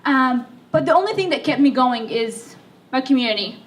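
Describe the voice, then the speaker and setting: A woman's voice: high-pitched, lively intonation, moderate pace. A young woman from Libya, who is sick, giving a chatty, self-deprecating speech to an audience, with plenty of fillers. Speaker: speaker. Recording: voice from far off the mic.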